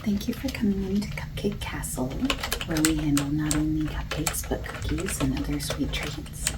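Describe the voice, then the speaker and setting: A woman playing a friendly, patient bakery salesperson in a soft-spoken ASMR roleplay, often breathy and near a whisper, gently overexplaining. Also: normal speed, natural voice. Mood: soft, accommodating. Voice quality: low-pitched, creaky, soft. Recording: lo-fi hissy close recording, keyboard typing.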